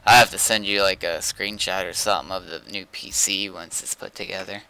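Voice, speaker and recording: A man's voice: low voice, steady tone, normal speed, creaky, sharp tone, clear, resonant tone. A man streaming a game and chatting casually, with short, laid-back replies. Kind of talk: casual chat while gaming.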